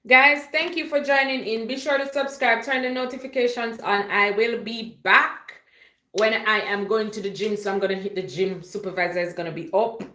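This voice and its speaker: A woman's voice: mid-range voice, normal speed, pushed and bright. A woman chatting casually and playfully to her livestream viewers, rambling from one thing to the next. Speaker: livestream host. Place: indoor room at home. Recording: roomy, echoey home livestream.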